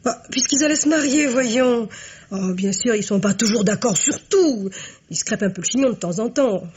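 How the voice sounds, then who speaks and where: An actress playing a sociable, bubbly young confectioner in a French radio mystery, chatty and breezily untroubled. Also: female voice, on the high side, lively intonation, normal speed, darkish tone, breathy edge. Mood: breezy, reassuring.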